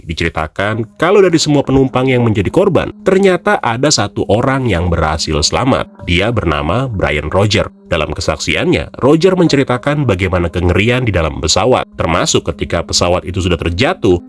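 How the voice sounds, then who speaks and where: A man with a low voice hosts a review. His delivery is casual but scripted, and his pitch moves around in an animated way. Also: a little fast, few pauses. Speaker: YouTube host and film reviewer.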